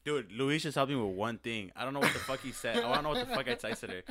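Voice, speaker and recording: A man's voice: medium pitch, huge pitch swings, fast, sharp tone. A man in his early twenties, a podcast guest, chatting in a laid-back way and saying bro a lot. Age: 20s. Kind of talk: casual chat.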